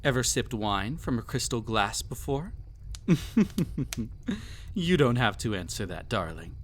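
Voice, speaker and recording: A man's voice: medium pitch, very animated, a bit bright, a little hiss or hum. A man playing a cruel, possessive emperor with a haughty, silky, condescending manner in a scripted roleplay addressed to the listener. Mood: doting, mocking. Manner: haughty, silky, condescending, theatrically drawn-out delivery. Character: cruel, possessive, mocking young emperor pampering a captive pet.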